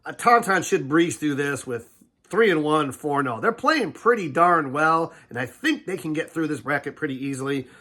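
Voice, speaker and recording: Older male host with a slightly high voice, nasal from a cold, relaxed and meandering. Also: moderate pace. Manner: relaxed, rambling with fillers and restarts. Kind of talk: casual sports preview talk.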